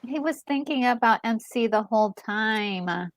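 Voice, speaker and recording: High, animated, gushing woman co-host. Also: dry recording. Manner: gushing, short drawn-out interjections. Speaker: gaming channel co-host. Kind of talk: casual reaction commentary. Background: game music.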